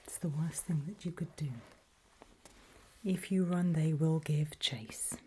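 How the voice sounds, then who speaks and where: A woman whispers an ASMR roleplay with a very breathy, airy voice. Her delivery is slow and deliberate, broken into short, hushed phrases. The tone is low and soft, as she guides the listener step by step. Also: steady tone.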